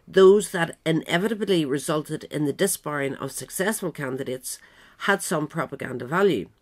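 A woman reading scripted narration in a measured, formal manner, as the narrator of a pamphlet. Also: low-pitched.

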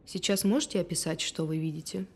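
An actress playing a calm hypnotherapist, giving measured instructions and probing questions in an audio drama. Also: female voice, fairly low pitch, slightly rough.

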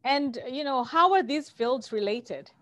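A woman hosting an interview show over a video call, reading a formal introduction and asking questions with a few halting fillers. Her voice sits a little high. The sound is dry, with no room echo. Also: lively intonation, a bit bright. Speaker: host and producer. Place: home room.

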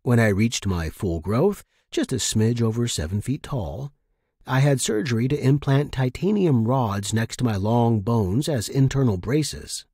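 A man reading first-person fiction as a narrator, in an expressive, dramatic manner. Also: mid-range voice, unhurried, slightly rough, dry recording, studio quality.

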